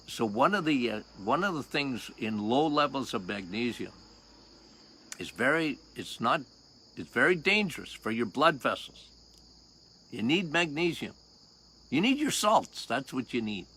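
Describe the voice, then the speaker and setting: An older man with a loud voice, a doctor hosting a health podcast outdoors, talking casually and emphatically, repeating key points and quizzing his listeners. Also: mid-range voice, big pitch swings, measured pace, pauses often, slightly rough. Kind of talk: casual health talk monologue.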